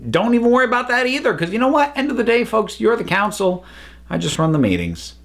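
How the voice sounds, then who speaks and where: A man's voice: high-pitched, very animated, quick pace, vocal fry, a bit bright. A man hosting a review video, talking casually to viewers and leaning on repeated words for emphasis.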